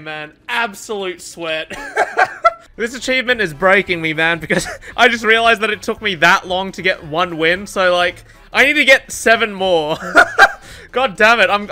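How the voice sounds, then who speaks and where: A young man commentating his own gameplay, excitable and swearing, shouting at the game and laughing, a bit worn out. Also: high voice. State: tired, says his voice is going. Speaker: gaming YouTuber.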